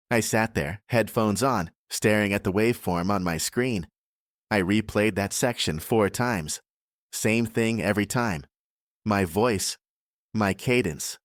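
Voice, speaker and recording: A man narrating a scripted first-person horror audiobook in a measured, deliberate way. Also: lowish voice, dry recording, studio quality.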